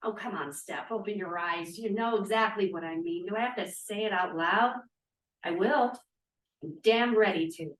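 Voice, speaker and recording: A woman's voice: medium pitch, normal speed, natural voice. A woman acting as an outspoken, sardonic young feminist of the 1940s in a script read-through. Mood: defiant. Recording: distant, echoey room microphone.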